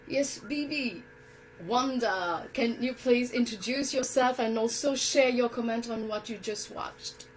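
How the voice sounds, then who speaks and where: A woman's voice: fairly high pitch, measured pace, a little hiss or hum, low-quality recording. A woman hosting an online show, chatting with many fillers. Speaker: host.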